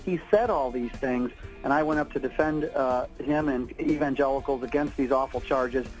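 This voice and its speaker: A man's voice: mid-range voice, moderate pace, over music, rough recording. An American man, an activist speaker, talking on the radio. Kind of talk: radio talk.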